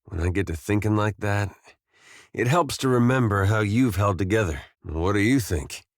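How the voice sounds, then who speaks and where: A deep male voice, recorded very dry, a man acting out a farmer turned adventurer in a video game. He is gentle and loyal, with some weariness of the world in him. He talks plainly and folksily, understating things with hesitant, dry humor. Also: moderate pace, no echo, lightly acted. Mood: earnest, musing. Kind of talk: video game companion dialogue.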